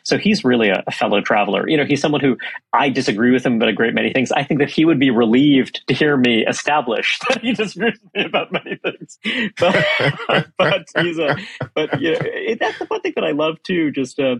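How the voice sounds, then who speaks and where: A man's voice: medium pitch, animated, a little fast, a bit bright, slight background noise. A man from Brooklyn, New York, heading a think tank, talking in an interview with many fillers and restarts. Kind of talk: conversational interview.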